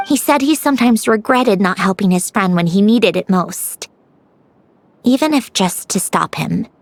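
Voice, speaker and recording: A woman voicing a composed, proud young mechanical woman in an anime-style video game, with a measured, self-assured and lightly dismissive way of talking. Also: mid-range voice, lively intonation, no room sound. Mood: wistful, reflective.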